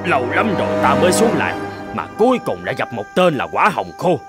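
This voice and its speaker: A man voicing a boastful but cowardly tiger king in an animated folk tale, theatrical and easily panicked. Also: mid-range voice, a little fast, loud music behind the voice. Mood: puzzled, anxious.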